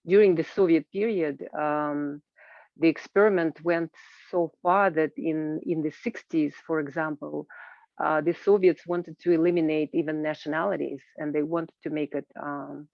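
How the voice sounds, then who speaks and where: A woman from Kazakhstan speaks hesitantly as an invited panellist, pausing often with filled pauses, on a muffled video call. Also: sits low, steady tone, gravelly, no echo. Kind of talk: informal spoken presentation at an online roundtable. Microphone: video call microphone. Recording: muffled, band-limited video call audio.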